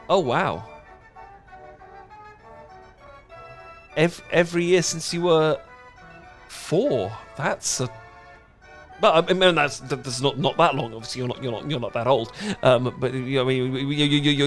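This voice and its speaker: A British man playing an awkward, flustered, dorky young man in a romantic audio roleplay, stammering, halting and apologetic as he rambles. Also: medium pitch, soft background music, lightly acted. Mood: awkward, embarrassed. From Britain.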